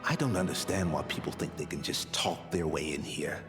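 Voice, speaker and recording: A man's voice: extremely low pitch, animated, moderate pace, music in the background. A man playing a stern, self-important gate guard in a video game, speaking formally and with condescension. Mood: officious, exasperated.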